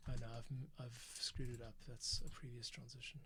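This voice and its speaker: A man's voice: mid-range voice, little pitch movement, a little fast, a crowd in the background. A man talking informally to himself in a casual running commentary as he composes music, often muttering while he works. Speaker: music composer streaming his work.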